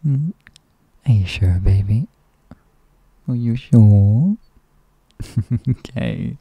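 A man voicing an affectionate, teasing partner in an intimate roleplay, speaking softly and often in a whisper. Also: medium pitch, vocal fry, breathy edge, dry recording, heavily acted. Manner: soft, often whispered, coaxing, chuckling. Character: affectionate teasing male partner, doting, playful. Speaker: audio roleplay performer.

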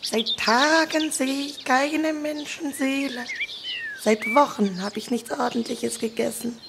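A woman's voice: high-pitched, takes it slowly, vocal fry, exaggerated voice. A woman playing a frail, pleading old granny in a German-style fairy-tale audio story, courteous and hungry. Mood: weary pleading. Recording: noisy recording with birdsong. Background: birdsong.

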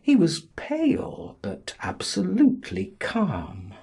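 A man narrating a classic novel as a dramatic audiobook, theatrical and deliberate in his delivery. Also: high-pitched, wildly swooping pitch, creaky, mellow, slightly rough.

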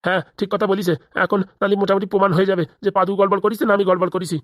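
A woman's voice: mid-range voice, level tone, rapid-fire, dry recording, studio quality, close to an everyday voice. A woman voicing a timid, anxious cartoon man, a lawyer who pleads and frets in a rural Bengali accent. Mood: persuading. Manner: pleading, fretful, stammering. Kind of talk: comic cartoon dialogue.

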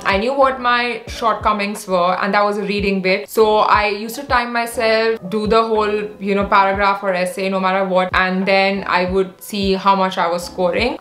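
A woman's voice: medium pitch, fairly bright tone, reverberant. A young woman from India whose first language is Odia, earnestly answering viewer questions in a casual advice vlog from her bedroom. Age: young adult. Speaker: medical exam vlogger.

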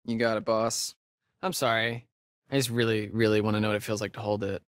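An actor playing a joking, sardonic young camp counselor in a video game, with an American accent and a laid-back, slangy way of talking. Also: male voice, fairly low pitch, level tone, fairly quick, no echo, studio quality, lightly acted. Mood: casual. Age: young adult. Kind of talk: naturalistic video game acting.

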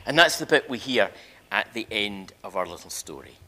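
An older man preaching as a minister, telling a story emphatically with dramatic pauses and repeated phrases in a large church. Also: on the low side, expressive, creaky, bright, forceful tone. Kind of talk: sermon, storytelling to a congregation. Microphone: lectern microphone. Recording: reverberant church hall.

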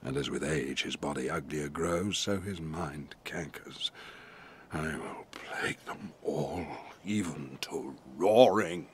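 An actor playing a vengeful, brooding sorcerer, gravelly and solemn in a Shakespearean audio drama. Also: male voice, rumbling low, slow pace. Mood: bitter, brooding.

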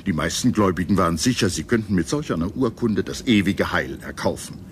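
A man reading scripted history documentary narration in a measured, solemn and dramatic manner. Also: low-pitched, animated, slight background noise.

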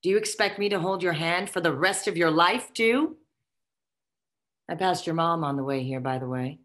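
A woman's voice: lowish voice, moderate pace, fairly bright tone, natural voice. A woman playing the imperious, condescending ghost of a socialite boss in a theatrical comic table read over video call. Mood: admonishing. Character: haughty, foul-mouthed ghost of a dead socialite boss. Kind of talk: virtual table read, comic stage acting.

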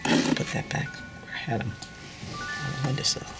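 A woman's voice: rumbling low, fairly even intonation, normal speed, vocal fry, loud music behind the voice, rough recording. An older woman chatting casually and unscripted as she gives a home tour, meandering and correcting herself as she goes. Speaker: dollhouse owner giving a tour. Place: indoors at home, filming a dollhouse.

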